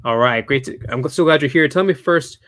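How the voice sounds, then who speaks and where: A man's voice: medium pitch, brisk, fairly bright tone. A man hosting an interview over a video call, asking questions in an upbeat, friendly way. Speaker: host.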